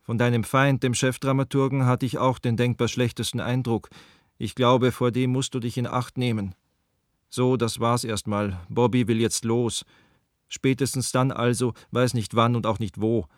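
A man's voice: mid-range voice, steady tone, normal speed, no echo, clean studio sound, lightly acted. An actor playing an apologetic, wryly rueful man from Vienna, reading his letter to friends in a radio drama. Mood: rueful, explanatory.

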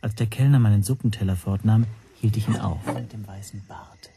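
An actor playing an observant, nervous hotel guest who narrates his own story in a radio drama, measured and precise. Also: lowish voice, steady tone, vocal fry, background noise. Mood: probing, quiet. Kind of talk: scripted first-person radio drama narration.

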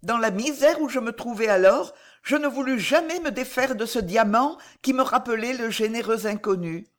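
A woman voicing a gentle, dignified noble mother in an audiobook novel, speaking solemnly and earnestly. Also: mid-range voice, moderate pace, voice pushed up, close to an everyday voice. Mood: wistful. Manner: solemn, tender, formal theatrical speech.

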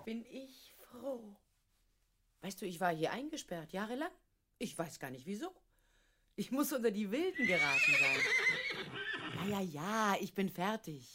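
Bright-voiced, frazzled, put-upon mother. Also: mid-range voice, big pitch swings, measured pace, pauses often, slight background noise. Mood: hushed, tender. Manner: exasperated, emotional. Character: worn-out, put-upon mother of a bickering family. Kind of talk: radio drama acting.

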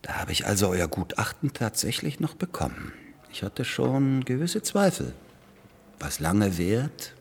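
An actor playing a blunt, impatient middle-aged lawyer and entrepreneur in a radio drama, talking in a sarcastic way that builds to exasperation. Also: male voice, sits low, husky, exaggerated voice. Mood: dry, sardonic. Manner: sarcastic, rising to shouting exasperation.

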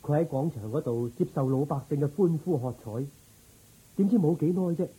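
Man playing a dutiful officer, dark husky voice. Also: on the high side, animated, moderate pace, rough recording. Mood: wistful admiration. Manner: musing, questioning. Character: dutiful, inquisitive military officer serving a king. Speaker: voice actor. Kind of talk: radio drama acting.

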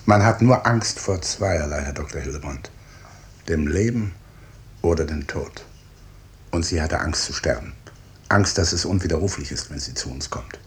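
An actor playing a stern, brooding, guilt-ridden professor and clinic chief in a 1950s German radio drama, speaking with emphatic authority. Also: male voice, a bass voice, moderate pace. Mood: impatient, grim. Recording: early 1950s radio studio recording.

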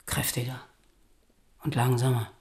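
An actress playing a young monk and healer, learned, earnest and resolute, in a radio drama. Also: female voice, a bass voice, level tone, a little slow, darkish tone, breathy edge, exaggerated voice. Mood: concerned, focused. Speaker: actor.

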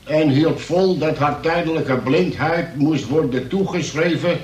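A gloomy, resentful grown son played by a male actor tells his story for a radio play. His delivery is slow, wry and hesitant, in a slightly high male voice. The recording is roomy and a little distant. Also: natural voice. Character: gloomy, resentful son tied to his invalid mother, narrating. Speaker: narrator and lead character. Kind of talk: Dutch radio drama, confessional narration.

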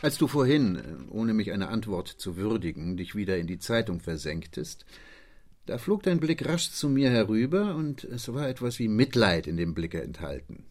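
An actor playing a bored, conceited detective in a German radio play, languid and lecturing in a condescending way. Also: male voice, fairly low pitch, big pitch swings, normal speed, a little mellow. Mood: smug, lecturing. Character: bored, conceited male detective, a Sherlock Holmes parody.

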